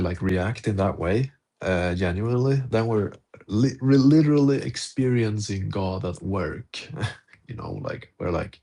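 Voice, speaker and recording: A man's voice: fairly low pitch, darkish tone. A man hosting a casual live audio-room podcast chat, laid-back and chuckling between phrases. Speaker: podcast host.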